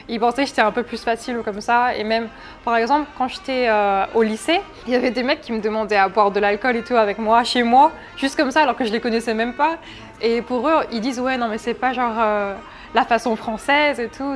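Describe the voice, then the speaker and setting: Young woman, twenties, from France, higher pitch, candid interview chat, faint music underneath. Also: steady tone, fairly quick. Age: 20s. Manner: candid, chatty, with hesitant restarts. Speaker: interview guest. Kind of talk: casual interview talk.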